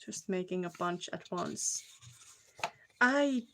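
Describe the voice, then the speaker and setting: A woman from Finland with a clear Finnish accent talks to her viewers at an unhurried pace while she crafts, often pausing to search for the right English word. A faint hiss sits under her voice, and tool clicks come through now and then. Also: lowish voice, expressive. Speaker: card-making streamer. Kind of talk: casual live crafting chat. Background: clicking crafting tools.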